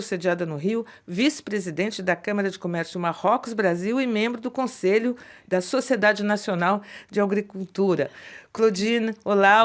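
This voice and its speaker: A woman hosting a radio interview in a studio, introducing her guest and asking questions in a composed, formal way. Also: mid-range voice.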